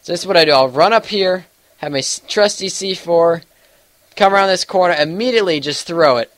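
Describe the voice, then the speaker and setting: A young man with a stuffy, allergy-congested voice talks through gameplay in a loose, rambling way. His voice sits a little high for a man, and he keeps hedging with fillers as he goes. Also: a little fast, a bit bright, dry recording. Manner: rambling, full of fillers and hedges. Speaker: gaming YouTuber. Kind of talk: casual gameplay commentary.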